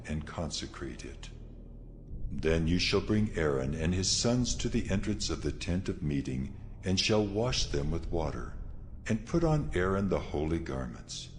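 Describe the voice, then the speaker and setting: A very deep, commanding God. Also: male voice, a little mellow, a little hiss or hum. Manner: solemn, commanding, declamatory. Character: the Lord God, solemn commanding divine voice. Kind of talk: dramatized scripture audio.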